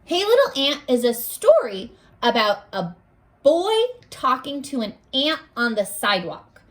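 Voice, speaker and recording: Very high, animated woman teaching young children. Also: measured pace. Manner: simple guiding questions, encouraging, addressing young children. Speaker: elementary school teacher. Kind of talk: children's read-aloud lesson, instructional.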